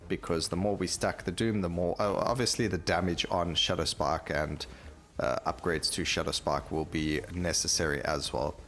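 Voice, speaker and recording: A man's voice: low-pitched, steady tone, normal speed, a bit bright. A man giving casual gameplay commentary, rambling and thinking aloud as he plays. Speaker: gaming video host. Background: game music.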